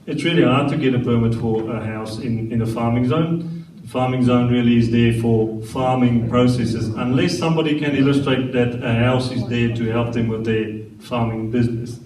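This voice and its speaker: Council director, mid-pitched, careful and explanatory, echoey room. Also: male voice, a little mellow. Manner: measured, explanatory, frequent restarts. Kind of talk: formal explanation at a meeting. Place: council chamber. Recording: echoey chamber, distant mic, faint hiss.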